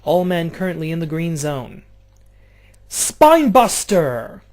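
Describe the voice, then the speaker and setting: Excitable man calling game wrestling. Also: takes it slowly. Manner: swings between loud outbursts and low offhand asides, swears casually. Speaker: play-by-play commentator. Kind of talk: excitable play-by-play of a video game wrestling match.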